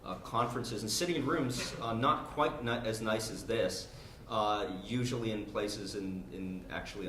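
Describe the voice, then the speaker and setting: A man's voice: on the low side, a bit bright, slight background noise. Hesitant academic man in an echoey room. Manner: hesitant, many "uh" and "um" fillers. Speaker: academic panelist. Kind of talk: conference talk. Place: conference room.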